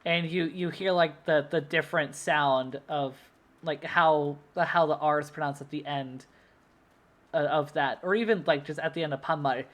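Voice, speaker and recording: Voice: medium pitch, normal speed. A young adult streamer from the United States chatting casually at a desk mic, rambling with lots of fillers and laughing easily. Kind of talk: casual livestream chat.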